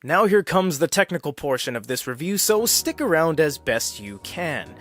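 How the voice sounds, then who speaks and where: A man's voice: on the high side, very animated, moderate pace, music in the background. Man explaining tech in a wry, scripted tone. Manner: explanatory with wry casual asides. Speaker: tech reviewer. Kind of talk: scripted product review narration.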